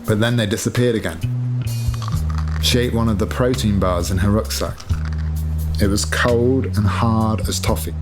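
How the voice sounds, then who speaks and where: A man with a fairly low voice narrates a piece of literary fiction. His phrasing is measured and deliberate, and music plays clearly behind him. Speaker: author reading own novel excerpt. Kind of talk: scripted literary narration over sound design. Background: atmospheric music.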